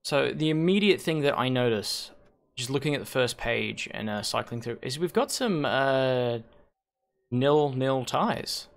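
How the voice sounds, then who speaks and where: A hoarse man hosting a league stream on a headset mic, rambling and correcting himself as he thinks aloud. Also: medium pitch, lively intonation, unhurried. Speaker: league host and shoutcaster. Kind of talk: casual livestream commentary.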